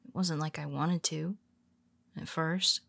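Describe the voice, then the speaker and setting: A woman voicing a cool, scheming female superhero who quietly confides in her nemesis in an audio roleplay, speaking softly and wryly, often in a whisper. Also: low voice, slow pace, creaky, exaggerated voice. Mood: confiding. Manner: calm, wry and confiding. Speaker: voice actor.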